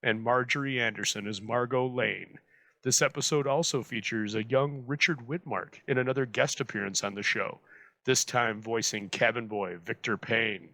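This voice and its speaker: A man's voice: mid-range voice, fairly even intonation, normal speed, sharp tone, dry recording, poor audio. A man narrating a scripted, dramatic introduction as host, close on the mic. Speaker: host introducing old radio episode.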